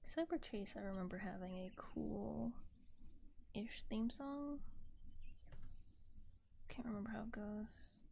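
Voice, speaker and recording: A woman streamer chatting casually in a soft, breathy, often whispery ASMR style, gently rambling close to a binaural microphone. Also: mid-range voice, slow, long pauses, a little hiss or hum. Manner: gentle, rambling, reading chat aloud.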